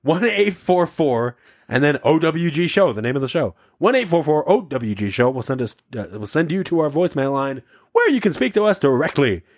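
A man's voice: on the high side, very animated, a little fast, no room sound. Male comedy podcast co-host, rambling and silly, dry close mic. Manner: rambling, goofy, laughs often. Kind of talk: casual comedy podcast banter.

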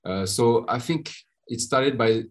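A man from France with a French accent, a podcast guest telling his story in a halting way with frequent pauses and restarts. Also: medium pitch. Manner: halting, many uh fillers and restarts. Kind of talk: conversational interview storytelling.

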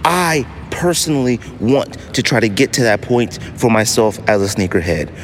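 A man's voice: mid-range voice, lively intonation, normal speed, slight background noise. A man hosting a casual solo podcast, talking emphatically and repeating phrases to drive them home. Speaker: podcast host.

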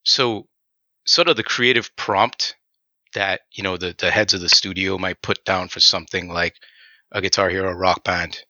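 A man's voice: low-pitched, fairly even intonation, moderate pace, sharp tone, no room sound, dull audio. A man from Trinidad, a game audio designer, talking casually and animatedly with many fillers in an interview.

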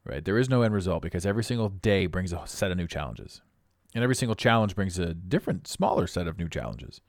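Deep raspy man, blunt and preachy. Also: lively intonation, fast, no echo, studio quality. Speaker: podcast host. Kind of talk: motivational monologue.

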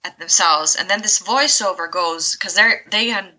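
Bright-voiced woman chatting. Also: mid-range voice, normal speed, low-quality recording. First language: Finnish and English. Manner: chatty, laughs often, restarts sentences. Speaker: voice actor and presentation coach. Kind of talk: casual interview answers.

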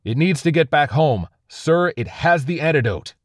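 A man's voice: on the high side, lively intonation, normal speed, dry recording, studio quality, voice pushed up. A man doing a defiant wounded sergeant. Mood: urgent. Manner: urgent, pleading.

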